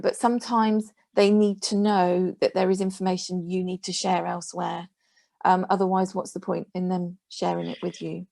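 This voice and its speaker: A woman's voice: lowish voice, creaky, a little mellow, no room sound. A woman giving an informal, plain-spoken advisory talk in a webinar, reassuring and speaking directly to her listeners. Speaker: guest speaker, adult safeguarding professional.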